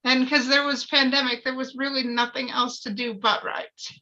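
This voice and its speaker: A woman's voice: high-pitched, level tone, normal speed, pushed and bright. A woman in casual discussion, speaking candidly and emphatically about things in a personal way, over dull lo-fi audio.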